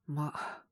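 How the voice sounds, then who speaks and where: A woman voicing a gruff, teasing teenage schoolboy who secretly cares, in a boys' love audio drama. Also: no room sound, clean studio sound. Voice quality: low and husky. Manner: blunt, teasing, rough casual boyish speech.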